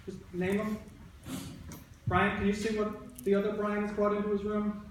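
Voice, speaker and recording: Higher-voiced male teacher in an echoey room. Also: monotone, moderate pace. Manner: instructive, repeats and rephrases, questions listeners. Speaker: elementary school teacher. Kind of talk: interactive read-aloud to a class of young children. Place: indoor classroom. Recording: roomy, echoey, distant mic with background noise.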